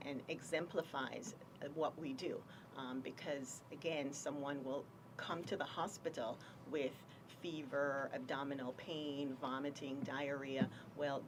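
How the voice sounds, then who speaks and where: A woman from Jamaica, a doctor explaining her work in a radio health interview, measured and full of pauses. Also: sits low, recorded from a distance, noisy background. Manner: measured, explanatory, frequent ums. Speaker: infectious diseases doctor, guest.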